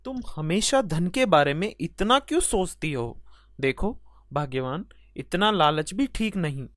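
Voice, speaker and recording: Man acting an earnest, higher-voiced poor farmer husband. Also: lively intonation, unhurried, no room sound, studio quality. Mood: patient, chiding. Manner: earnest, gently chiding. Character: patient, hardworking, weary poor farmer husband in a cartoon.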